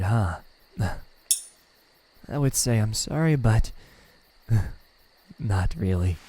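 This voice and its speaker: A man playing a smug, teasing demon in an ASMR roleplay, taunting softly with drawn-out pauses and a breathy, husky voice. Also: lowish voice, a little hiss or hum. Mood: mocking amusement. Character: smug, teasing male demon toying with a captive. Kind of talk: ASMR roleplay, slow intimate villain acting.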